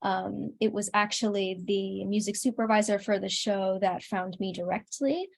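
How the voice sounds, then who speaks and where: A woman's voice: mid-range voice, steady tone, moderate pace, gravelly. A woman chatting casually as an interview guest, earnest and modest in the way she talks. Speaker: interview guest, singer and content creator.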